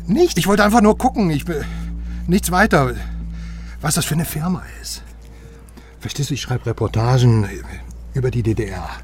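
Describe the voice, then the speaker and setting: An actor playing a stout West German journalist, wheedling and brash, in naturalistic radio drama style. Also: male voice, a little high, animated, normal speed, long pauses, natural voice. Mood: nervous, pleading. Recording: music behind the voice.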